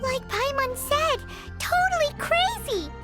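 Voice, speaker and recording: A tiny female fairy sidekick from an anime video game. The voice is extremely high, squeaky and childlike. It bounces through very animated intonation, full of exclamations, with faint music behind it. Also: slow pace, exaggerated voice. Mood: indignant. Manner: speaks of self in third person, exclamatory.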